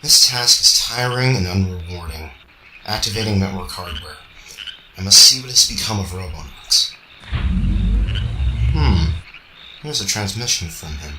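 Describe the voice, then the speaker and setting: Guy doing moody logical robot, real deep voice, formal radio drama thinking aloud, echoey w faint hiss. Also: animated, bright, forceful tone, heavily acted. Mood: brooding. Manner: measured, formal, introspective. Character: brooding, logical young male robot, puzzled by feelings. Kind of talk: amateur radio drama monologue. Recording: echoey, faintly noisy home recording.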